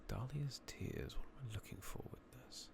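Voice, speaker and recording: A man giving soft-spoken ASMR game commentary, muttering casually to himself and often slipping into a whisper, on a noisy close mic. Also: medium pitch, moderate pace, gravelly. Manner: murmuring, often dropping into whispers. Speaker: video game streamer. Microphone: makeshift-rigged new microphone. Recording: noisy close mic, slightly loud, clicking.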